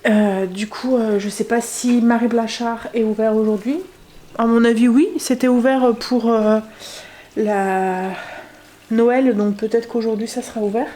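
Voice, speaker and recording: A woman's voice: on the high side, steady tone, dark tone, reverberant, a little hiss or hum. Stuffy-nosed woman vlogging from home. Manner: chatty, many filler pauses. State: stuffy nose from a cold. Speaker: family vlogger. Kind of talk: casual home vlog chat.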